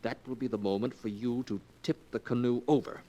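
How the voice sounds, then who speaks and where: An actor playing a scheming young man coaxing his cousin toward murder, in a 1940s radio drama, speaking in a smooth, intimate way. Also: mid-range voice, fairly even intonation, fairly quick, mellow, lightly acted. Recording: old radio recording with hiss.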